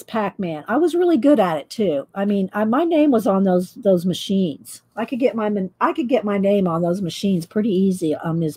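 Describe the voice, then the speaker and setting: A woman, chatting loudly while she paints and tells stories on her coloring livestream, laughing often and answering viewers. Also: fairly high pitch. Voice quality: loud voice. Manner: chatty storyteller, laughs often, reads live chat. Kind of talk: casual chat while painting. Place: home craft table, indoors.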